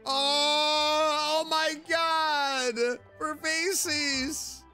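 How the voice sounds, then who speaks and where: A man giving expressive, gushing commentary as he streams a video game playthrough. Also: very high-pitched, extremely slow. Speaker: streamer, VTuber. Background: faint game music.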